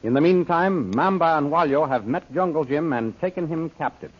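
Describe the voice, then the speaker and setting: A man's voice: on the high side, animated, normal speed. A man narrating an old-time radio adventure serial from a script. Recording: muffled old radio recording.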